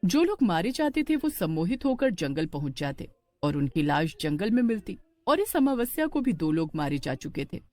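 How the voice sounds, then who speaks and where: A woman's voice: lowish voice, big pitch swings, normal speed, warm tone, no room sound. A woman narrating a scripted horror story for a cartoon.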